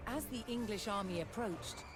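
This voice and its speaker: Female documentary narrator over loud music. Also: mid-range voice, normal speed. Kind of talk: scripted historical documentary narration. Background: loud background music.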